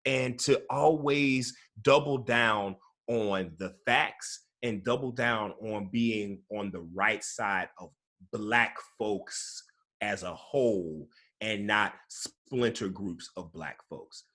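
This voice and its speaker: Husky male guest, earnest, circles back on his phrases as he builds a point. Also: lowish voice, slow pace, a bit bright. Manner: repetitive restarts, earnest, rallying. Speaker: guest commentator. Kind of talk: casual political discussion.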